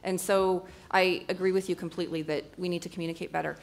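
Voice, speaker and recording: A young adult woman physician answering on a conference panel in a measured, conciliatory way, speaking into a table microphone in a large hall. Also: on the low side, little pitch movement. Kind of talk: panel discussion.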